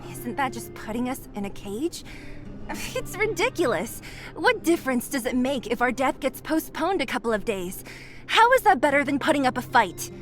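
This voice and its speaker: A woman playing a blunt, hot-headed young rebel fighter in a video game, defiant and forceful. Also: sits high, sing-song, normal speed, soft background music. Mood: impatient, indignant.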